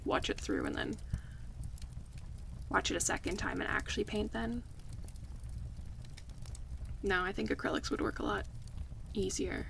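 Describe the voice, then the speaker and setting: Woman acting affectionate girlfriend-type friend with heavy vocal fry. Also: mid-range voice, a little hiss or hum. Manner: relaxed, giggly, conversational and teasing. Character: affectionate young woman, playful close friend turning romantic. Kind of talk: ASMR roleplay, casual chat to the listener.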